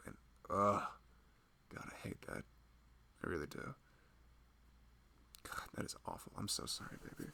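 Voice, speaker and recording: Man acting as a low, creaky-voiced loving husband. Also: heavily acted. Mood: sympathetic, worried. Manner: soft-spoken, rambling, conversational. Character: loving, protective husband of a pregnant wife. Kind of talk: intimate ASMR roleplay, casual improvised chat.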